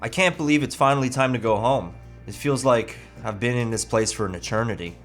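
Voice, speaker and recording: A man's voice: mid-range voice, a little fast, soft background music, natural voice. A man reading the part of an earnest, grateful family man recovering from addiction in a script read. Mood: hopeful, anxious. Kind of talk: amateur table read.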